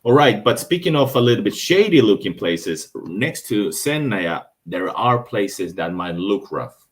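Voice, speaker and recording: Russian-accented male vlogger, very low voice, loose rambling delivery with frequent restarts. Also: lively intonation, unhurried. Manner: rambling, with restarts and self-corrections. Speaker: travel vlogger. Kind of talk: casual talk over his own video footage.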